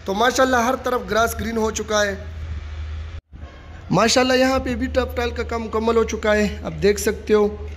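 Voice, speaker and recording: High-voiced man with touring a site. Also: normal speed. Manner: steady informal commentary, repeats "you can see". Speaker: vlogger giving site updates. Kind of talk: walking tour narration. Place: outdoors at a stadium construction site. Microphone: handheld phone camera. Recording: long reverb, faint site noise.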